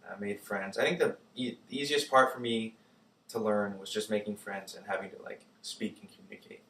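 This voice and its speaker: A young man from Germany, a native German speaker, casually telling his life story in an interview, relaxed and full of fillers like "like" and "uh". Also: lowish voice, fairly quick, echoey room, recorded from a distance, noisy background. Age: young adult.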